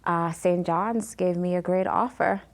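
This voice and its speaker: Soft-spoken woman from Jamaica. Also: fairly low pitch, steady tone. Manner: many ums and pauses, earnest retelling. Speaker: guest. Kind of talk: interview, personal story.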